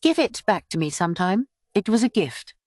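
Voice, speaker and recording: A woman's voice: medium pitch, big pitch swings, normal speed, darkish tone, no room sound, studio quality, pitched above the performer's own voice. A woman voicing a blunt young female apothecary, guarded and stubborn, speaking in a terse, matter-of-fact way for an audiobook. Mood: quietly earnest.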